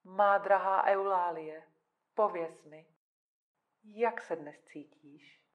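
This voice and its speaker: An actress playing a composed, probing female psychiatrist in an audio drama, speaking in a measured, soothing manner. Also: lowish voice, dull audio, slight background noise, lightly acted. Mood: calm, probing.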